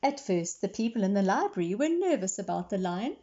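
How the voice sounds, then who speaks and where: A woman's voice: medium pitch, very animated, a little fast, warm tone, muffled recording. A woman reading a picture book aloud to children as a librarian, with simple explanations along the way. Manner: clear explanatory storytelling for young listeners.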